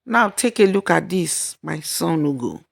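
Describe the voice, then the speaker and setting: A woman's voice: deep voice, big pitch swings, measured pace, gravelly, exaggerated voice. Woman playing an indignant, scolding older mother-in-law. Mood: stern accusing. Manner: scolding, exclamatory, emphatic.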